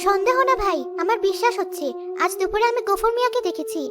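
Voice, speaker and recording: A woman voicing a brave, sharp-witted young village girl in a cartoon story, assertive and reasoning. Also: extremely high pitch, fast. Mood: confident, urgent.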